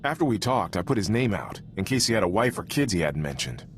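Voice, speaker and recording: A loyal male soldier in a cinematic sci-fi game. His low voice moves with lively intonation, frank and sincere in an informal military way. There is faint noise in the background. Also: fast talker. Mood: concerned, urgent. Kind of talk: cinematic video game voice acting.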